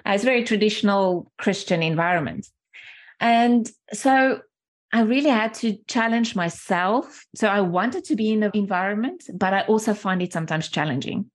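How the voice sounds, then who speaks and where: A woman's voice: medium pitch, normal speed. A woman in her forties from South Africa, a native Afrikaans speaker with a South African accent, speaking candidly and thoughtfully as an interview guest. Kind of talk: reflective personal conversation.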